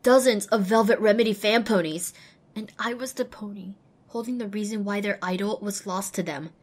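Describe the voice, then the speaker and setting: A woman's voice: mid-range voice, moderate pace, slightly rough, natural voice. A woman playing a small, anxious outcast pony mare who tells her own story expressively and introspectively in an audio drama. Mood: dread. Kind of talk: first-person dramatic audiobook narration.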